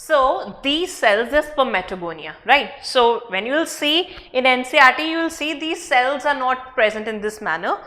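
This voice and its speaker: Indian-accented woman lecturer, high bright voice, patient step-by-step explainer. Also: lively intonation, moderate pace, hardly any pauses. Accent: Indian English. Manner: explains step by step with frequent checks like okay and right. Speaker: biology teacher. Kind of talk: online class lecture.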